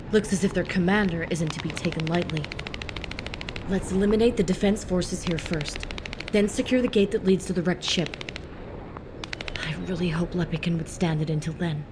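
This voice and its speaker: An actress playing a tough, no-nonsense squad fighter in a video game, talking bluntly and briskly. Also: female voice, on the low side, natural voice. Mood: urgent, determined. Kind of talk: video game combat dialogue. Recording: gunfire and battle noise behind.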